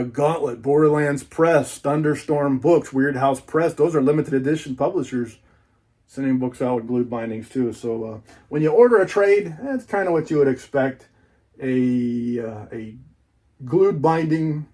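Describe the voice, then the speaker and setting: A man's voice: mid-range voice, darkish tone. A man chatting casually through an unboxing as a book collector, in a folksy, rambling, informal way.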